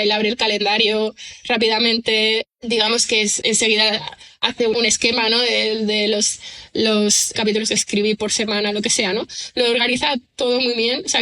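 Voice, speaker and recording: Woman from chatting on a podcast, bright voice, kinda monotone, lots of um and o sea. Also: medium pitch. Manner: thoughtful, rambling with many fillers and restarts. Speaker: writer and screenwriter guest. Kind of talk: casual podcast interview.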